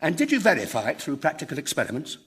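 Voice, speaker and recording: An earnest, excitable academic in a British radio sitcom. His voice is husky and swings widely in pitch. He is pedantic, touchy and quickly flustered. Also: full character voice. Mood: astonished, eager. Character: earnest, excitable, touchy dead physics professor.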